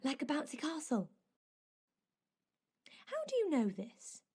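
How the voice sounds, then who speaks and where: A woman's voice: high voice, wildly swooping pitch, slow pace, darkish tone, full character voice. An actress playing a curious, imaginative young girl who can turn anxious, asking eager questions in an audio drama. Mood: playful, curious.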